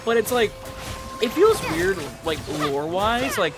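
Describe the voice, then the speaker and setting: A man's voice: high voice, very animated, measured pace, loud music behind the voice. A man streaming a game with casual chat commentary, rambling along with lots of filler words. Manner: rambling, filler-heavy, frequent "like".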